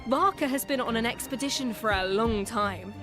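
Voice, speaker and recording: A woman co-presenting with expressive, scripted conversational banter. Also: a little high, moderate pace. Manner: expressive, exclaiming. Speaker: co-presenter of a game special program. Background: music.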